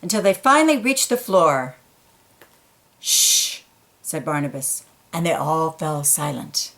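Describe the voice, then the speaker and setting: Slow, gentle woman reading a picture book. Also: deep voice, very animated. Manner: slow, gentle, pointing out pictures in quiet asides. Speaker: children's book reader.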